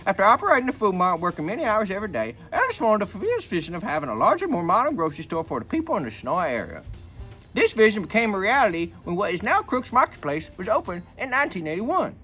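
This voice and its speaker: Folksy old grandpa storyteller, played by a man, very animated pitch swoops, wisecracking memoir read-aloud, faint music behind. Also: full character voice. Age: older. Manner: rambling, repeats himself, wisecracking. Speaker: book reader. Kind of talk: reading a book aloud with rambling comic asides.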